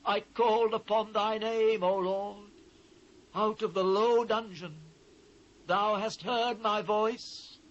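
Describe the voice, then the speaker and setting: A man's voice: high voice, fairly even intonation, slow, muffled recording. A British man playing an anguished biblical prophet in a dramatised scripture reading, solemn, declamatory and impassioned. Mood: anguished, pleading. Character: anguished male biblical prophet lamenting his ruined people. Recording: faint noise, old cassette transfer.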